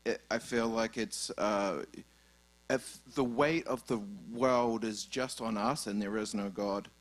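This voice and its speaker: A man's voice: fairly low pitch, steady tone, takes it slowly. Hesitant New Zealand man asking. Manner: hesitant, many restarts and fillers. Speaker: audience member asking a question. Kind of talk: live question from the floor.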